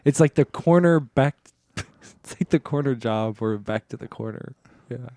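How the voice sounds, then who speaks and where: A man's voice: mid-range voice, moderate pace, mellow, no room sound. A man co-hosting a podcast with casual, playful comedic banter, riffing and questioning. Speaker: podcast co-host.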